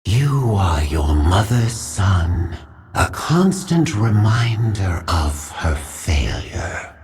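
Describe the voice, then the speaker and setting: A sinister Void tempter, drawls very slowly in a low, swooping voice. It is cruel and insinuating, slipping toward whispers, with faint music underneath. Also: male voice, big pitch swings, a little mellow, full character voice. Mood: cruel taunting. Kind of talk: villain acting in fantasy game.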